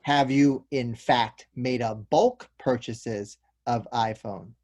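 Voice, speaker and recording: A man's voice: mid-range voice, takes it slowly, darkish tone. A man playing an earnest, slightly awkward police detective in a comic play read aloud. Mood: formal, questioning. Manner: formal, apologetic. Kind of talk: table-read stage comedy acting.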